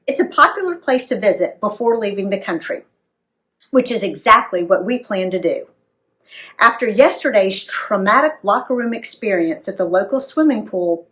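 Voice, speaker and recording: An American woman reads a travel memoir aloud in an animated, dramatic storytelling style for young readers. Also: medium pitch. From United States.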